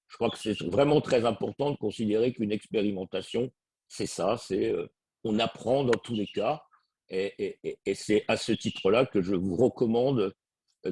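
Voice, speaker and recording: Husky low-voiced man, halting delivery. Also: a little mellow, dry recording. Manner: hesitant, repeating words. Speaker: guest speaker. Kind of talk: webinar presentation.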